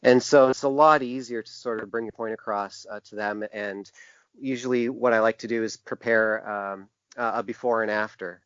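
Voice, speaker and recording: A man's voice: lowish voice, fairly even intonation, moderate pace, fairly bright tone, clear, resonant tone, dry recording. A man answering interview questions at length in a conversational, hesitant way, as a podcast guest. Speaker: podcast guest, typographer and graphic designer. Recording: dull, lo-fi, dry podcast audio.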